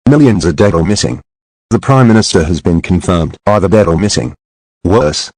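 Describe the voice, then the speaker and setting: A man plays a solemn government emergency announcer in a doomsday radio drama. The voice is low and very dry, with no room around it. The delivery is formal, measured and grave. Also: no echo, poor audio. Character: grave male emergency broadcast announcer delivering final warning. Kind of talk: scripted emergency alert narration.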